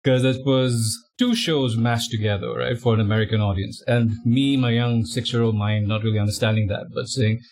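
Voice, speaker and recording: A man talking casually as a podcast guest, relaxed and a bit self-deprecating. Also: mid-range voice, normal speed, few pauses.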